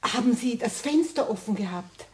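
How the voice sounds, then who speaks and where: A woman's voice: a little high, animated, moderate pace, darkish tone, slight background noise, close to an everyday voice. An actress playing a polite, anxious lady in a children's radio play. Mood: disappointed. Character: polite, anxious lady searching for her lost pet.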